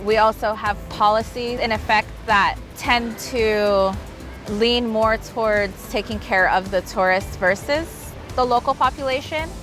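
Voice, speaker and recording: A woman in an interview, speaking in a measured, matter-of-fact way. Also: a little high. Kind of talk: interview talk. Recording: music bed underneath.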